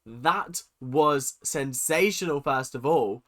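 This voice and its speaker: Man, host of a reaction vlog, nasal and stuffed up with a cold, crisp bright tone, excitable chatter. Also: medium pitch, slow pace. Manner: excitable, chatty, swings between loud exclamations and muttered asides. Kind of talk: casual reaction commentary.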